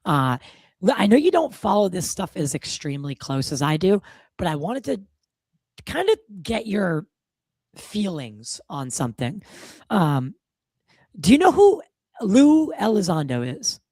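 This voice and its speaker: Gravelly, hoarse-ish male podcast host. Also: high-pitched, huge pitch swings, unhurried, darkish tone, no echo. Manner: loose and profane, lots of fillers. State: a bit hoarse from a cold. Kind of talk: casual podcast chat.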